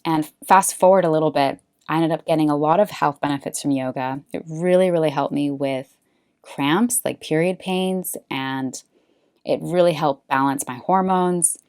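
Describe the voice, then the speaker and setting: A woman from California who hosts a face yoga podcast, telling her story in a relaxed, chatty way with lots of fillers. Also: low voice, normal speed, smooth, no echo, clean studio sound. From Bay Area, California. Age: late 20s or older. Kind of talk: casual personal storytelling monologue.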